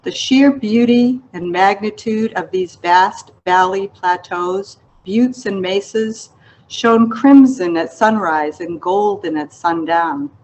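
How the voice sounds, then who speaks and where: A woman author reading her literary memoir aloud in a measured, reflective manner. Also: mid-range voice, a little mellow, poor audio. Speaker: author reading an excerpt of own book.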